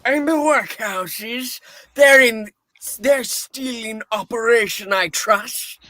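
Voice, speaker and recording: Man acting a high-pitched, grumpy old miser. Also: slow pace, bright, forceful tone, no room sound, exaggerated voice. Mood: curt, sarcastic. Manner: irritable, scornful, barking. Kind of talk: dramatic play reading, radio-play style.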